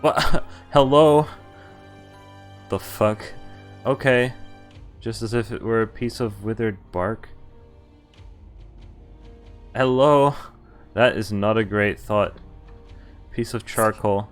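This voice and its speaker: Lowish guy streaming a visual novel, reads lines out then adds casual comments, bit of music behind. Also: slow, pauses often. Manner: reacts with asides between read lines. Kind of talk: reading game text aloud with casual reactions.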